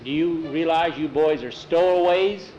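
A man's voice: fairly high pitch, unhurried, dark tone, muffled recording, slight background noise, lightly acted. Man acting a stern, indignant male traveller. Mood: firm. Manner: stern, emphatic. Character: indignant adult male traveller, stern but fair, with guns. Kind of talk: storytelling character voice.

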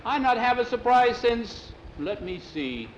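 A man's voice: very high voice, big pitch swings, measured pace, pitched above the performer's own voice, full character voice. A man voicing a blunt, easily delighted boss in a story, speaking broken English with exclamations. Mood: wistful. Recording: echoey, dull lo-fi, faint noise.

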